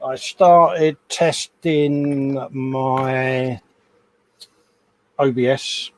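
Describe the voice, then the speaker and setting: A relaxed man of average pitch chats loosely on a live stream. He rambles happily about his builds, drops in a "mate" now and then, and reads out messages from viewers. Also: very slow. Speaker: co-host of a live hobby stream. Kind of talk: casual chat.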